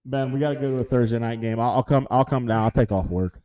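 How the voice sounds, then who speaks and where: A man's voice: medium pitch, fast talker, mellow. A man co-hosting a casual podcast, bantering and laughing with friends. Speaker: podcast co-host. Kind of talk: casual chat.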